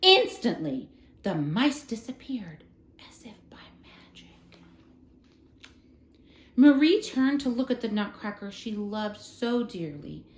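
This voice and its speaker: A woman reading a children's story aloud as a storyteller, in an expressive, dramatic style. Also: mid-range voice. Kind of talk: scripted children's storybook narration. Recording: roomy, echoing room sound.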